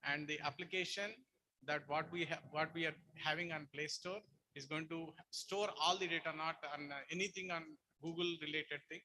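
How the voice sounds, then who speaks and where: An Indian-accented man presents and explains a product to an audience. His voice sits fairly high and sounds bright and forceful, as he lays things out as a presenter taking questions. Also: steady tone, moderate pace, a little hiss or hum, poor audio.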